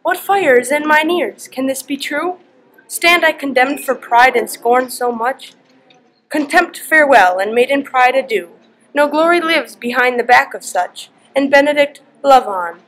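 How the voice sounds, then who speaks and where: A teenage girl playing a proud young woman softening into love, speaking a Shakespearean soliloquy in a student performance. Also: sits high, expressive, measured pace, low-quality recording. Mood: astonished. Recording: noticeable background ambient noise.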